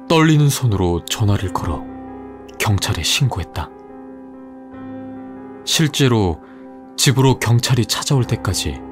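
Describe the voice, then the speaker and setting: A man reads a scripted horror story in a measured, dramatic way. His lowish voice moves up and down a lot and drops to a hush at the tense moments. Quiet music runs underneath.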